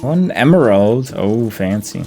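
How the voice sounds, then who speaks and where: A man's voice: on the low side, sing-song, measured pace, mellow, soft background music. A man giving casual game commentary on his own playthrough, thinking aloud and easily amused.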